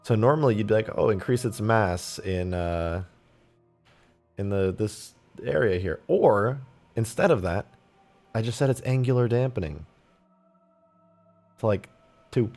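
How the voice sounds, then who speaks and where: A man live-streaming while he mods a game, thinking aloud, reading chat and laughing loudly at times, his voice going a bit hoarse. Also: fairly low pitch, expressive, a little slow, long pauses, faint music behind. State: losing his voice, slightly hoarse. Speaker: modding streamer. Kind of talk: casual live-stream commentary.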